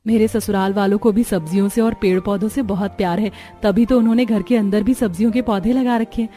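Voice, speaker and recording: A woman's voice: medium pitch, fairly even intonation, brisk, warm tone, soft background music, close to an everyday voice. A woman voicing a sweet, eager young village bride in a cartoon story. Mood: warm, admiring.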